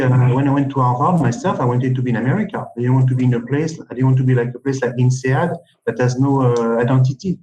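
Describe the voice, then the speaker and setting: A man with a French accent hosting a webinar over a video call, talking in a halting way with many restarts. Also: medium pitch, warm tone, lo-fi sound. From France. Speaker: webinar host. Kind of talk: casual webinar chat.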